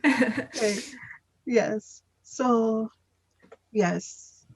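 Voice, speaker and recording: Slow-paced woman teaching English. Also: fairly high pitch, a little mellow, husky, no echo, low-quality recording. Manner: patient, explanatory, repeats phrases. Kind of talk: conversational language tutoring.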